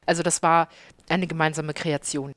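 A woman in her thirties with a Hamburg accent, a chef and restaurant owner answering interview questions with lively enthusiasm. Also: low-pitched, normal speed, no echo, clean studio sound. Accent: Hamburg German. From Hamburg, Germany.

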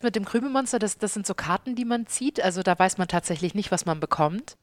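A woman in her thirties with a Hamburg accent, a chef and restaurant owner answering interview questions with lively enthusiasm. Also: mid-range voice, fast, no room sound. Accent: Hamburg German. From Hamburg, Germany.

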